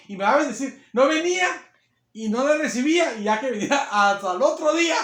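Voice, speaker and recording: A man delivers a sermon in a very high, ringing voice. His naturally powerful voice stays partly held back, then swells into emphatic, louder stretches. The sound carries the echo of a reverberant church room. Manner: loud, strong voice held back, rising to emphatic bursts. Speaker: preacher.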